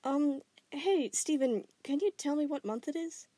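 A woman voicing a gentle, uncertain young woman who speaks hesitantly and with concern. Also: high voice, lively intonation, a little slow, no room sound, voice pushed up.

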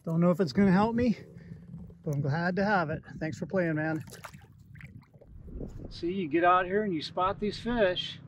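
A middle-aged man with a fairly high voice talks casually to a boat-mounted camera while fishing from a kayak. He keeps it low-key and matter-of-fact, half talking to himself. Wind and water noise sit clearly under his voice outdoors on the lake. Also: normal speed, long pauses, dull audio. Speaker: kayak angler. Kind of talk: casual self-filmed commentary. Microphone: action camera mounted on the boat.

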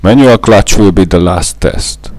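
Deep-voiced Hungarian-accented man explaining. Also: unhurried, darkish tone, a little hiss or hum, low-quality recording. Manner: careful, methodical explaining. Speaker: gaming tutorial narrator. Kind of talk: scripted gameplay voice-over.

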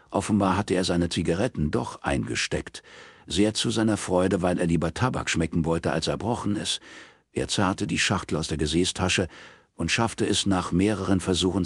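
Gravelly, husky male audiobook narrator. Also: low-pitched, dry recording, clean studio sound. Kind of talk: scripted narration of a crime novel.

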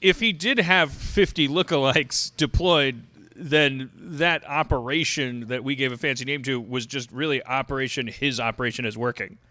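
Bright-voiced man riffing comic bits. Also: mid-range voice, no room sound. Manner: riffing, playful, quick asides. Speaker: podcast co-host. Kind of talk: comedy podcast banter. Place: stage before live audience.

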